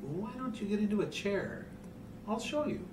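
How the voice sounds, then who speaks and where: A man's voice: high-pitched, expressive, moderate pace. A man playing a creepy, outwardly kindly dentist with unctuous, condescending persuasion in an audio story, recorded in a hotel room. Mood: coaxing. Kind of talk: hobby audio story reading. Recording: roomy hotel room, distant, noisy hum.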